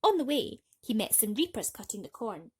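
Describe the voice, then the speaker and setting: Very animated woman reading a bedtime story. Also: a little high, moderate pace, slightly rough. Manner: theatrical, addresses young listeners.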